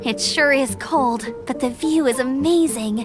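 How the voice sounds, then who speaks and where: A woman's voice: sits high, unhurried. A woman playing a spirited, friendly young female scout, earnest and upbeat, in an anime-style video game. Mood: friendly, wistful. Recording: music behind the voice.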